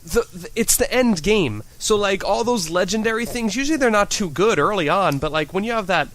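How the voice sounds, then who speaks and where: A man's voice: high-pitched, expressive, a little fast, a bit bright. A man taking part in a casual podcast chat, talking loosely with lots of fillers. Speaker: podcast guest.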